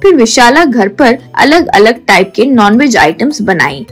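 A woman narrates an animated story in a slightly high voice. Faint music plays behind her. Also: unhurried, slightly rough. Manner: narrating while also voicing the wife.

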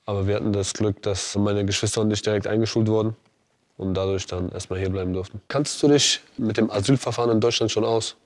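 A man in his late twenties from Afghanistan, a footballer calmly explaining things in a conversation. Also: fairly low pitch, fast, no room sound. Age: 20s. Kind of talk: conversational interview. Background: guitar music.

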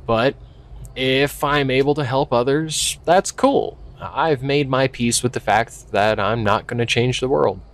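A man's voice: mid-range voice, a little slow, lightly acted. A man acting a young, skeptical park ranger in an audio drama, speaking casually and hesitantly with a wry edge. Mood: wistful, resigned. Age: young adult. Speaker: voice actor. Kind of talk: naturalistic audio drama dialogue.

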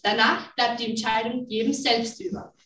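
A woman's voice: fairly high pitch, steady tone, normal speed, a bit bright. A young woman narrating dates and events in a matter-of-fact, newsreader-like way in a school play. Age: teens. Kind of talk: announcing dates and news like a bulletin. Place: large school classroom. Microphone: far camera. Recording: roomy, distant classroom recording.

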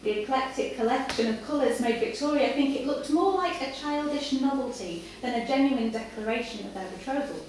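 A woman with a fairly high voice gives a measured reading of fiction. She is live in a café, recorded from a distance, so the room echoes heavily around her with some faint noise. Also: animated, a little mellow. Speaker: reader at a live literary night. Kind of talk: dramatic reading of written fiction. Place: live in a café before an audience. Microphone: far camera.

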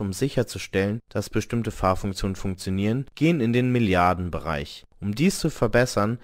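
A man's voice: on the low side, dry recording, studio quality. A man narrating a scripted radio report as a reporter.

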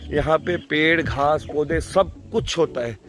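Young male vlogger, informal and chatty, higher register, outdoor phone sound with music behind. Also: unhurried, a bit bright. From Himachal Pradesh, India. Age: young adult. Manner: chatty, informal, addressing viewers. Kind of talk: casual outdoor vlog chat. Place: outdoors, rural farmhouse yard and fields. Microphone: phone at arm's length. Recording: phone video with music under voice.

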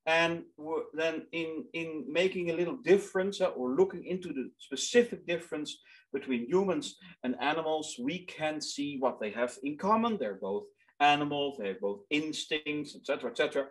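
A man in his thirties with a Dutch accent gives a lecture over a webcam from a small room, his voice a little higher than most men's. He speaks earnestly, English not being his first language, and often restarts and repeats words while reaching for the right phrase. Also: lively intonation, normal speed, low-quality recording. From Netherlands. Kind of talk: online lecture.

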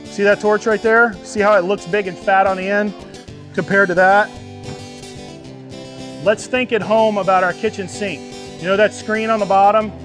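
A man's voice: high voice, little pitch movement, moderate pace, long pauses, fairly bright tone, soft background music. A middle-aged man instructing a group in a live hands-on class, plain-spoken and folksy, checking that listeners follow. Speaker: welding instructor. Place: outdoors under an open tent canopy before a seated group.

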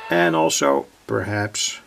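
Male hobbyist demonstrator, heavily gravelly, slow and halting delivery, radio sound playing behind him. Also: mid-range voice, animated, over music. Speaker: hobbyist radio builder presenting. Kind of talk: unscripted hands-on demonstration narration. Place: indoor home workbench. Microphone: handheld camera's built-in microphone. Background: shortwave radio playing.

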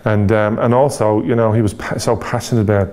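A man in his forties from Ireland with an Irish accent, an interview guest speaking candidly and reflectively, pausing often with fillers and restarts. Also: on the low side, fairly even intonation, a little mellow.

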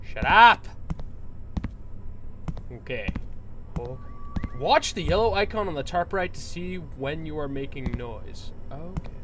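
A young man with a nasal, flu-stuffed voice, commentating a horror game on a headset and yelling at the game when startled. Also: a little high, animated, slow, pauses often, slight background noise. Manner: jumpy, yells at the game. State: recovering from flu. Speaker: gaming youtuber.

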